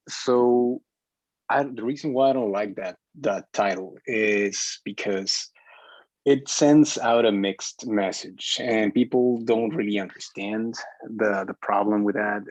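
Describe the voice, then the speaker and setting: A man speaking as a filmmaker in a casual group discussion, opinionated and halting, often repeating his words as he argues a point. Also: lowish voice, darkish tone, no room sound, low-quality recording.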